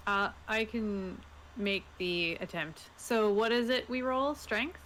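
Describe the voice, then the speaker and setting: A woman's voice: medium pitch, slow pace. A woman chatting casually as a player in a tabletop role-playing game. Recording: clearly audible background noise.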